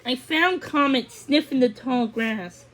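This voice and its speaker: A woman's voice: high-pitched, takes it slowly, a bit bright. A woman narrating a scripted children's story as a read-along.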